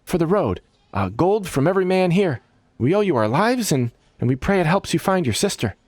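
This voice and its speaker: Ship's cook male narrator playing him: high, earnest, halting, nervous, fantasy audiobook figure. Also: sing-song, no room sound. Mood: nervous grateful.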